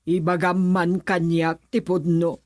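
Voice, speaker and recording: An actress playing a stern, demanding middle-aged aunt in a radio drama. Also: female voice, fairly low pitch, flat delivery, unhurried, a little mellow, no echo, clean studio sound, lightly acted.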